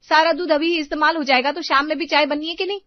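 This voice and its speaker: A woman's voice: high voice, fairly even intonation, fast talker, dry recording, highs cut off, voice pushed up, natural voice. A woman voicing an aggrieved, sarcastic daughter-in-law from a dramatised family story, complaining and scolding. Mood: angry, scolding.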